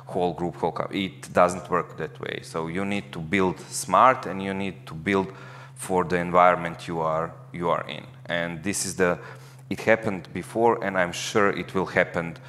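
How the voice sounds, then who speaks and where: A man's voice: low voice, steady tone, normal speed, fairly bright tone, big-room sound, a little hiss or hum. A man speaking earnestly on a conference panel, with slightly non-native phrasing. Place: conference stage before an audience.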